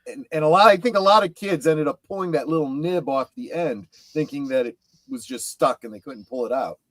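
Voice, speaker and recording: A middle-aged man chatting casually about collecting, explaining things in a halting way. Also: fairly high pitch, fairly bright tone, no echo.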